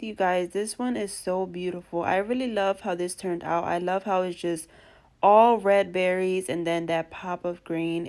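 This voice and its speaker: A woman's voice: on the low side, darkish tone. Stuffy-nosed chatty craft vlogger woman. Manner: chatty and gushing, addresses viewers as friends. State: stuffy from allergies. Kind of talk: DIY tutorial narration.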